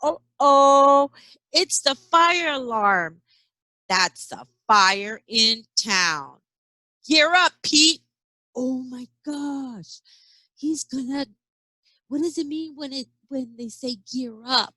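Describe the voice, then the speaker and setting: Bright-voiced woman reading slowly to children. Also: sits high, no room sound. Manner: expressive, asking young listeners questions; acts out sound effects and exclamations. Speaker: early education teacher. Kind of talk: children's picture book read-aloud with chatty asides.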